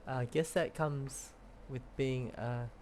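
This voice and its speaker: A man's voice: medium pitch, fairly even intonation, takes it slowly, darkish tone, slight background noise. A man giving casual let's play commentary as he streams a video game.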